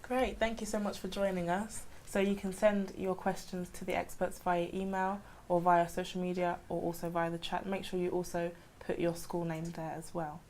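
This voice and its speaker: A woman's voice: fairly low pitch, flat delivery, moderate pace, hardly any pauses, darkish tone, a little hiss or hum. A woman hosting a live studio panel, thanking guests and bringing in questions. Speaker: host. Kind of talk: presenting a live event.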